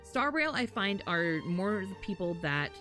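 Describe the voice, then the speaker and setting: A woman's voice: medium pitch, measured pace. A woman livestreaming games, chatting casually and animatedly with her viewers and swearing now and then. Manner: animated, swears casually, chuckles while talking. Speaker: game livestreamer. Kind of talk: casual chat with stream viewers. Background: faint game music and character voice lines.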